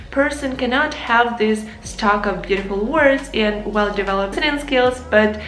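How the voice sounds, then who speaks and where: A woman's voice: mid-range voice, fairly bright tone. Young Ukrainian-native woman teaching English to camera, earnest, in a roomy echo. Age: young adult. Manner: earnest, addresses viewers as guys. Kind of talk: casual advice lesson to camera. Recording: echoey room with faint music.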